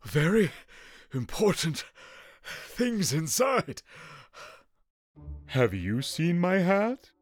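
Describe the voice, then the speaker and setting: A man's voice: fairly high pitch, wildly swooping pitch, drawn-out words, long pauses, dry recording, studio quality, pitched above the performer's own voice, heavily acted. A man voicing a flustered, fussy grown man who has lost his hat, talking in a fretful, pleading way.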